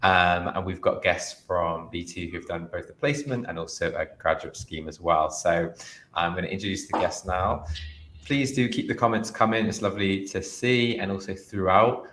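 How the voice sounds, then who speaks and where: A man's voice: low-pitched, moderate pace, a bit bright, slight background noise. A young man hosting an online careers webinar, chatty and upbeat, with frequent ums and restarts. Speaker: host.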